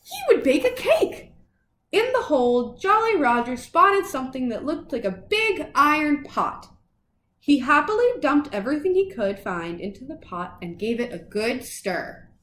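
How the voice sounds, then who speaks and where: High-pitched, animated woman reading a storybook. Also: measured pace, echoey room. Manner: animated, dramatic phrasing for young children. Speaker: children's storybook reader. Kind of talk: expressive picture-book read-aloud.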